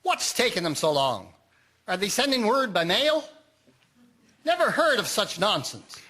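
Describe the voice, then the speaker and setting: Guy playing a huffy army major in a radio drama, sharp and forceful, real indignant. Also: high voice, sing-song, measured pace, exaggerated voice. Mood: irritated. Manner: blustering, indignant. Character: gruff, irritable army major, brushing off a reporter. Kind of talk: amateur radio drama acting.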